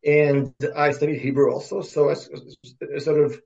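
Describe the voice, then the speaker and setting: A man's voice: a little high, flat delivery, normal speed, creaky, darkish tone, highs cut off. A man from Russia, a native Russian speaker, answering interview questions as an academic guest, often repeating words and restarting. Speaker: podcast guest, computer science professor.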